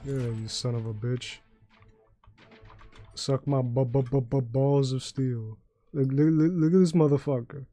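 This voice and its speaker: A young man with a deep voice chatting loosely and profanely while streaming a game and taking chat requests. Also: fairly even intonation, unhurried, long pauses, mellow, a little hiss or hum. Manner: loose, profane, joking, sings badly on request. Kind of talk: casual gaming livestream chat.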